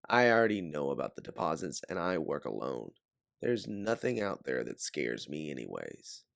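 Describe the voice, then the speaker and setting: Self-reliant female bounty hunter read by a man, low pitch, dry sound, curt defiant audiobook delivery. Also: sing-song, moderate pace, creaky, fairly bright tone, no echo, heavily acted. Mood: suspicious, dismissive. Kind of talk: audiobook character voice.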